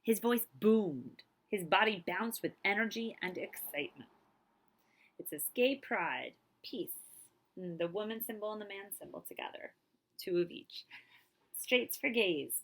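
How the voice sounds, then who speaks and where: Woman reading aloud with a creaky voice. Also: medium pitch. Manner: expressive, emphatic phrasing with deliberate pauses. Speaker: librarian reading a picture book aloud. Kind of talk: children's read-aloud storytelling with casual asides.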